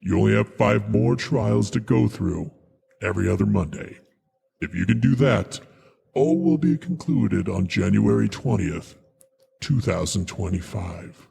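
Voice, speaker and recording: A man playing a mysterious, all-knowing schemer in a radio drama, gravelly and husky, speaking in a measured, grandiose, condescending way. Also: fairly low pitch, warm tone, heavily acted. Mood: condescending, coaxing. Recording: long cavernous reverb.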